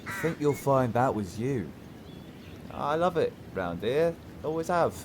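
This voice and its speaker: A man's voice: medium pitch, very animated, measured pace, background noise. An actor playing a teasing, wistful young countryside man, blunt and informal, in an audio play. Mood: defensive teasing. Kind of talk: naturalistic audio play dialogue.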